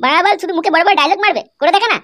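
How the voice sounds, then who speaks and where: A man's voice: very high voice, very fast, very noisy. A man voicing a cheeky, quarrelsome, indignant cartoon character in a comedy. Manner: indignant, mouthy.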